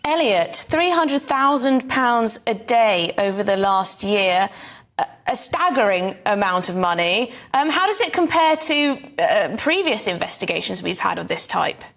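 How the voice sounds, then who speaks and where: A woman's voice: mid-range voice, big pitch swings, a little slow, a bit bright. A woman with a British accent presenting the news and putting questions in a live interview.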